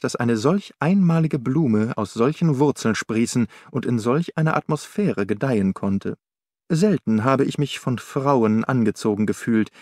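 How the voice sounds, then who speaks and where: A man's voice: mid-range voice, a little mellow, dry recording, clean studio sound. A man narrating a literary audiobook from a script.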